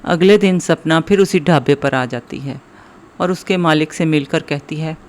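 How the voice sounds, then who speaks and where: A man's voice: fairly high pitch, normal speed. A man narrating a scripted moral story for children.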